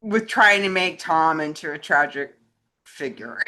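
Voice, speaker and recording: A woman's voice: sits low, lively intonation, a little slow, bright, forceful tone. A woman co-hosting a casual discussion, talking in a chatty, informal way. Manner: chatty, laughs often while talking. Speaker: co-host. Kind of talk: casual chat.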